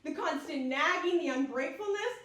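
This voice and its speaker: A woman acting as a bitter husband in a one-act stage play speaks in a very high voice. The delivery is scornful and accusing, heard far from the mic in an echoey space. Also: lively intonation, a little fast, fairly bright tone. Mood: angry contempt. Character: bitter, contemptuous husband in a domestic quarrel.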